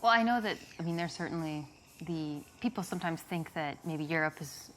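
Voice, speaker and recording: A woman's voice: low-pitched, a little fast, fairly bright tone. An American woman acting out a casual getting-to-know-you conversation in a video game. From United States. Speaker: actress playing a date in an interactive video game. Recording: noticeable background noise.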